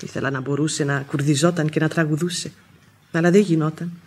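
An actress playing a humble, devoted, sharp-tongued housemaid in a vintage Greek radio play. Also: female voice, low voice, fairly even intonation, natural voice. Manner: plain-spoken, self-effacing. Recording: old radio recording with background noise.

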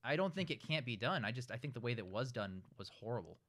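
A man's voice: medium pitch, animated, fast talker, a bit bright, dry recording, studio quality. A man co-hosting a casual, opinionated discussion, arguing his points and swearing freely. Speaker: podcast co-host.

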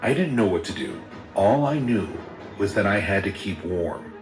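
A man reading a first-person horror story in a steady, measured storytelling voice. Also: on the low side. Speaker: narrator. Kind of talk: scripted horror story narration. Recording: roomy sound with music underneath.

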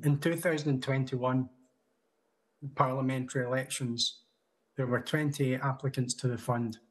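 Husky man speaking over a video call. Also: mid-range voice, steady tone, rough recording. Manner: measured, reads from notes with small restarts. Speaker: conference speaker. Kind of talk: prepared talk. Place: home room indoors. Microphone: video call webcam.

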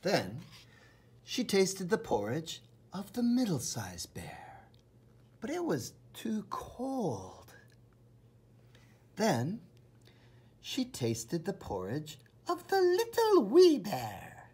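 Husky, animated man reading to children. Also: high voice. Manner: enthusiastic, theatrical, dramatic emphasis and pauses. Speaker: storybook reader. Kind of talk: children's read-aloud narration.

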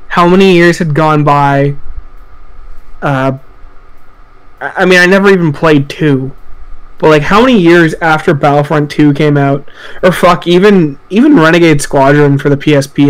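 Male podcast co-host, slightly high voice, informal and foul-mouthed game chat. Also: clean tone, poor audio. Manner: sweary, informal. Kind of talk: casual podcast chat about video games. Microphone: voice chat call.

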